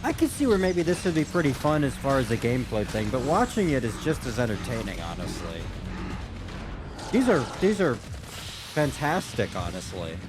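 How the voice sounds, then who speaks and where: A man's voice: mid-range voice, big pitch swings, moderate pace. Guy doing a loose sweary reaction commentary, voice moves around a lot, music behind. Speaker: reaction video host. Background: game cutscene music.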